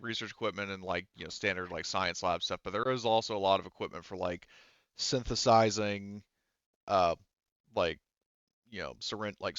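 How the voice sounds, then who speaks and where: Bright, low-voiced man running a tabletop game. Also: clear, resonant tone, dry recording, muffled recording. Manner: hesitant, conversational, hedging. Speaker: game master. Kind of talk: improvised tabletop game narration.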